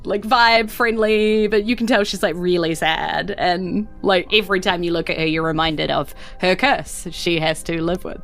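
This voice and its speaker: A woman's voice: on the low side, normal speed, hardly any pauses, a bit bright, faint music behind. A woman with a New Zealand accent narrating a tabletop game as game master in a loose, conversational way. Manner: loose, conversational, hedging with "like" and "sort of".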